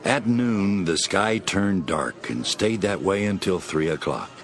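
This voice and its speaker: Deep, expressive male Bible narrator. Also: measured pace. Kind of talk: dramatized scripted Bible narration. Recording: music behind.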